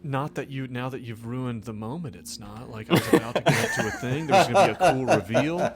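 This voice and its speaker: A man's voice: medium pitch, brisk, a crowd in the background. A man running a tabletop game as game master, talking casually and bantering with the players.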